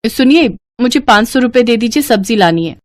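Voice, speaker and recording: Woman voicing an earnest, overworked young bride, animated. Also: a little high, a little fast, voice pushed up, natural voice. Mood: fed up, insistent. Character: young newly married daughter-in-law, overworked, earnest, easily fed up. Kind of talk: Hindi cartoon story dialogue.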